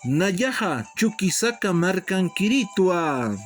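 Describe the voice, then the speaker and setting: A man teaching an Aymara lesson, repeating each phrase slowly and calling his students brothers and sisters. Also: high-pitched, big pitch swings, soft background music. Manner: slow, deliberate repetition of phrases. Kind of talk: online language lesson.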